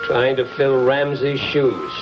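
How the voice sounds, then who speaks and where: An actor playing a defiant, bitter underworld doctor with a deliberate, dramatic delivery in a vintage television crime drama. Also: male voice, medium pitch, over loud music, close to an everyday voice. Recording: loud hum on dull vintage soundtrack.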